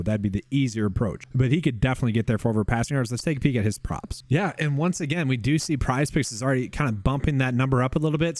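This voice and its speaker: Fast-talking man losing his voice. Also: mid-range voice, darkish tone, dry recording. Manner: fast, conversational, addresses viewers as guys. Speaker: sports betting analyst host. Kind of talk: casual screen-share analysis.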